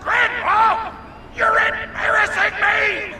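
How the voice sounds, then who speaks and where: A macho muscleman from a video game, shouts every word at an extremely high, strained pitch. He stretches the words out slowly and over the top, with loud crowd noise behind him. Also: flat delivery. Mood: loud, exasperated. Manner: bellowing every word, drawn out. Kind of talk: video game character acting.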